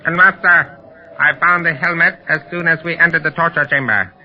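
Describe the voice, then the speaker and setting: A man's voice: on the high side, fairly even intonation, moderate pace, lightly acted. An actor playing a whining, servile henchman of a villain in a 1930s radio serial. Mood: pleading, servile. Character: cringing, fearful henchman servant of a villain. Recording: echoey, distant, dull 1930s radio recording.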